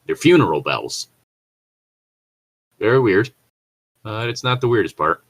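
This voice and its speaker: A man's voice: lowish voice, very animated, a little slow, fairly bright tone. A man co-hosting a casual read-along, reading stories aloud and breaking off into rambling, joking commentary. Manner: rambling, jokey, chuckles at own remarks.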